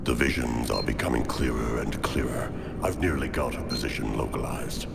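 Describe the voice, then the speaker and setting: An actor voicing a sinister, solemn, otherworldly cult seer in a video game, with a gravelly voice. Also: male voice, extremely low pitch, normal speed, noisy background. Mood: ominous. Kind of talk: dramatic video game acting.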